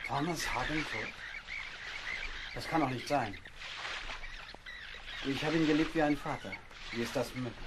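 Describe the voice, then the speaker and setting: An actor playing a sensitive, loyal young English naval officer in an audio drama, with a heartfelt, dramatic delivery. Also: male voice, mid-range voice, moderate pace, pauses often, heavy background noise, natural voice. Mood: shocked grief.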